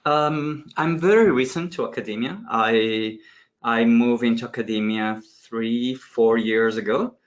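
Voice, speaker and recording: A man in his forties talks slowly while introducing himself as a course leader. He restarts his sentences and fills the gaps with hesitations. The audio is dull and lo-fi. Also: medium pitch. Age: 40s. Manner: halting, frequent restarts and fillers.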